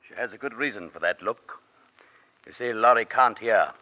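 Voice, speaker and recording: An actor playing a stern, calm village constable in 1940s radio style on an old broadcast. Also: male voice, fairly low pitch, normal speed, dull audio, close to an everyday voice. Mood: grave, explaining.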